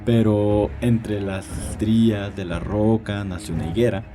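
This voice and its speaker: Casting: male actor for arrogant old vampire doctor, low expressive voice, savoring dark stories over prominent music. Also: measured pace, lightly acted. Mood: ominous storytelling. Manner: theatrical, leisurely, savoring storytelling. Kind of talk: audio drama character acting. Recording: music behind the voice.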